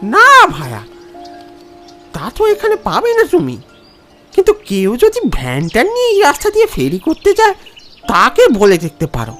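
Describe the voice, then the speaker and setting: A helpful country farmer from a cartoon story , as a male narrator puts him on. The voice is extremely high and very animated in its rise and fall. The talk is rustic and folksy, with faint music underneath. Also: normal speed, pauses often, full character voice. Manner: folksy rustic drawl, addressing others as brother. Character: unhurried village farmer man, folksy and helpful.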